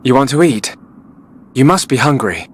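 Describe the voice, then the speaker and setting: An actor playing an earnest, protective young hero in a video game cutscene, speaking with sincere intensity. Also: male voice, medium pitch, animated, normal speed, a little hiss or hum, natural voice. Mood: caring.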